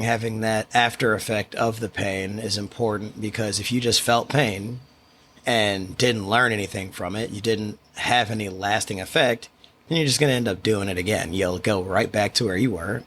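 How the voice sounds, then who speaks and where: A man with a quiet voice, hosting a solo podcast and talking in a reflective, rambling way with frequent restarts. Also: fairly low pitch, a little hiss or hum. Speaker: podcast host. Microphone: studio microphone.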